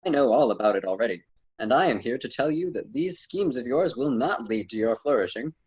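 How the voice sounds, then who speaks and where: A man's voice: medium pitch, expressive, brisk, darkish tone, slightly rough, lo-fi sound. A man playing a courteous, lofty ancient poet and guide in a radio drama, speaking formally and theatrically. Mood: amused, admonishing.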